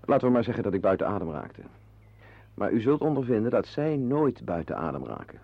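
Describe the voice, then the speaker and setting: A man's voice: lowish voice, very animated, a little fast, gravelly, dry recording, full character voice. An actor playing a wry, brooding henpecked husband in a Dutch radio play, confiding his musings to the listener. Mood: musing, rueful. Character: wry, brooding henpecked husband, animal-loving former artist. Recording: muffled, band-limited old radio play recording.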